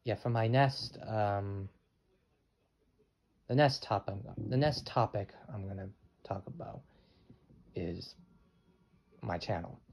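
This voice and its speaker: Halting young man, gravelly low voice. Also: darkish tone. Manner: halting, repeats words and restarts often. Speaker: channel owner. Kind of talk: rambling casual vlog. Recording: dull lo-fi with faint noise.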